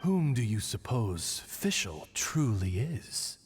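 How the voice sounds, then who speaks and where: A man's voice: mid-range voice, very animated, slow, darkish tone, soft background music. A man voicing a loyal, courtly talking bird in a video game, speaking formally and eloquently like a dignified sage servant. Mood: gently probing. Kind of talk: theatrical video game voice acting.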